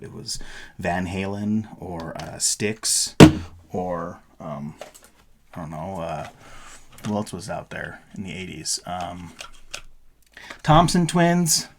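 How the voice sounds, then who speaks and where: Low-pitched middle-aged man, casual vlogger, playful rambling explainer, noisy recording with handling clicks. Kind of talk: casual explanatory show-and-tell.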